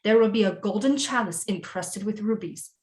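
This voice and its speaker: A woman acting as a commanding, scheming Renaissance queen mother in an audio drama, with formal, deliberate delivery. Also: medium pitch, darkish tone, natural voice. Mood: commanding, instructing. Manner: imperious, formal, deliberate diction.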